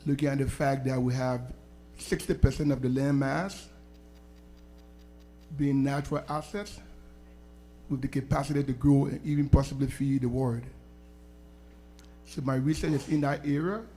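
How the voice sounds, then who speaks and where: A man's voice: medium pitch, fairly even intonation, normal speed, pauses often, warm tone, a little hiss or hum. A man from Liberia, introducing himself on a panel in a formal, courteous way with long listing sentences. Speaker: researcher on a panel.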